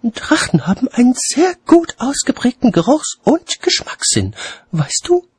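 A man's voice: very high voice, huge pitch swings, a little slow, breathy edge, dry recording, pitched above the performer's own voice, exaggerated voice. A man voicing a spirited, self-assured upper-class lady in an audiobook story, with emphatic well-bred speech. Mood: earnest, insistent.

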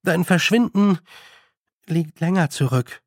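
A man performs a young girl for an audiobook. She is determined and careful, speaking with dry insistence. The pitch is lifted and the tone a little husky, in a very dry recording. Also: slow, dry recording, full character voice. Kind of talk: audiobook character voice.

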